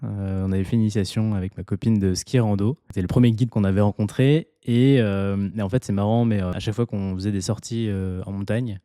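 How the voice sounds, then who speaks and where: A man's voice: on the low side, steady tone, brisk, few pauses, warm tone, dry recording, clean studio sound. A man hosting a podcast interview, talking with many hesitations and restarts. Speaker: podcast host. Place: home near the mountains.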